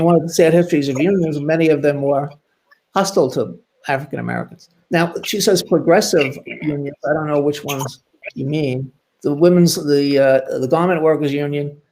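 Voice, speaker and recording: Higher-voiced older man, emphatic. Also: darkish tone, low-quality recording. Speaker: panelist, history commentator. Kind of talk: spoken panel discussion, explanatory.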